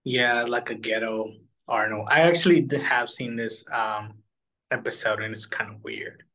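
A man co-hosting in casual chat, tossing in short, jokey reactions and quick to laugh. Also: medium pitch, bright, forceful tone, rough recording. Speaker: co-host of a cartoon countdown show.

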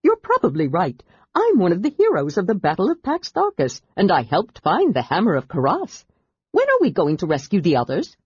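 A woman reading aloud, voicing an excitable, chatty young male kender in a high, eager voice. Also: normal speed, breathy edge, no room sound, lo-fi sound, heavily acted. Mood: eager chatter.

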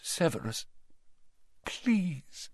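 Casting: frail elderly storybook wizard, voiced by a man, gently raised pitch, wry, measured delivery. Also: expressive, no room sound, clean studio sound. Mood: quiet pleading. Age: old. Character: weakened old male wizard, calm and wryly courteous.

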